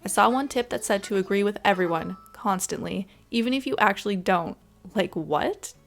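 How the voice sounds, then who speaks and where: A woman's voice: mid-range voice, expressive, normal speed, gravelly. Woman doing a sassy opinionated video game villager, brash and theatrical, music behind. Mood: incredulous. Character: blunt, sassy popular game villager, acted in dramatic dialogue. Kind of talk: dramatic reading of game dialogue.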